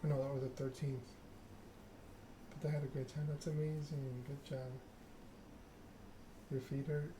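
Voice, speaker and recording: Even-toned male streamer over clear background noise. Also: medium pitch, a little slow, pauses often. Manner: reads narrative text aloud, chats with viewers. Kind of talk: soft ASMR game narration and casual chat with viewers.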